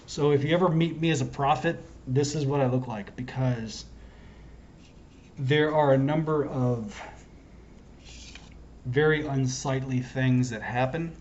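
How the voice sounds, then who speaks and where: A hoarse man talking to camera in a wandering, self-interrupting, earnest monologue as a self-styled prophet. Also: mid-range voice, unhurried, pauses often, a little mellow, dull audio, a little hiss or hum. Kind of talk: rambling solo monologue to camera.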